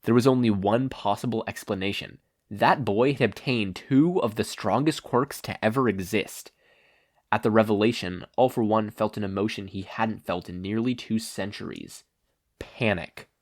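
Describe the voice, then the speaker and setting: A man reading stories aloud as an expressive podfic narrator. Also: lowish voice, normal speed. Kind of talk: expressive fan fiction narration.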